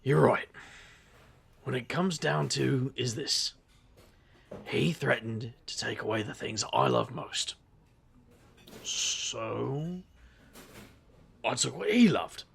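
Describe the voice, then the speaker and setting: A man playing a roguish, sardonic supernatural fellow with dark secrets in an audio drama, speaking wryly. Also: mid-range voice, very animated, takes it slowly, gravelly, pauses often, slightly rough, heavily acted. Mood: grave, guarded.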